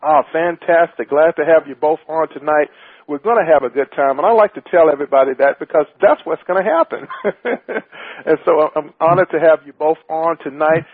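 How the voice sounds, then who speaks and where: Talk show host, man, slightly high and husky, upbeat, repeats lines, dry tinny line. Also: expressive, brisk, dry recording. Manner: upbeat, emphatic, repeats himself. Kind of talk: hosting an internet radio talk show.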